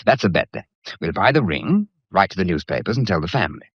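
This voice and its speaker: An actor playing a breezy, teasing bachelor suitor in a period mystery drama, with flippant, nonchalant banter. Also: male voice, low-pitched, big pitch swings, fast talker, slightly rough, no echo, highs cut off, heavily acted. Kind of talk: period radio drama acting.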